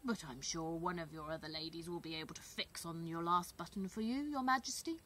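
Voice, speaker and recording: A woman's voice: lowish voice, brisk, a bit bright, close to an everyday voice. Woman playing wise old male adviser, pointed politeness, careful delivery, storybook, faint noise. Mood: pointed, reproachful.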